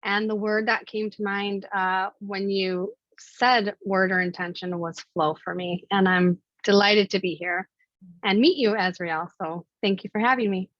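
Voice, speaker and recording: A woman's voice: mid-range voice, fairly even intonation, unhurried, dry recording, dull audio. A woman from Illinois introducing herself on a group call, cheerful and gracious. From Illinois, USA. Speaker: participant.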